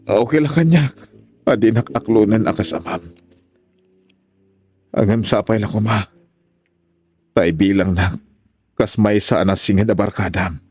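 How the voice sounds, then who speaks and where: A man's voice: lowish voice, unhurried, long pauses, darkish tone, breathy edge, no room sound. A man acting in a radio drama as a tender, remorseful middle-aged father back from prison, asking forgiveness. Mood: tender, grateful.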